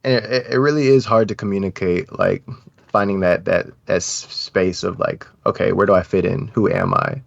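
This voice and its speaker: A young American guy on a podcast, low-voiced and reflective, chatting in slang, close and dry on a slightly muffled mic. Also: steady tone, moderate pace, gravelly, dry recording. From United States. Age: young adult. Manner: informal, slangy, thoughtful. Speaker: interview guest, brand founder. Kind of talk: casual reflective interview talk. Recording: slightly dull, dry audio.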